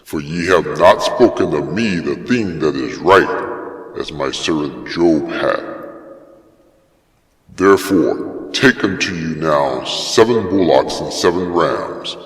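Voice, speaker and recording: A stern, all-powerful God speaks through a male actor in a very low voice, drawing out each phrase slowly. The delivery is grand and solemn, like scripture theatre. It rings in a long, cavernous reverb. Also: lively intonation, heavily acted. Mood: stern, commanding. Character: God, a vast, stern, commanding male deity. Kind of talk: dramatic Bible reading in archaic English.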